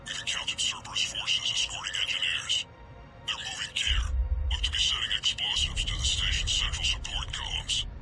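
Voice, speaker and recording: Low, flat-toned mercenary lieutenant, acted by a man. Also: normal speed, music in the background, close to an everyday voice. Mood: businesslike. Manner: clipped, flat, businesslike. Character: businesslike alien mercenary lieutenant reporting in. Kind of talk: video game cutscene voice acting. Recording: distant-sounding voice under game soundtrack.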